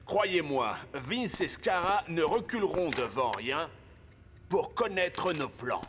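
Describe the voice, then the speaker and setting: A man's voice: a little high, animated, slow pace, noisy background. A man voicing a stern, commanding football coach in a French cartoon.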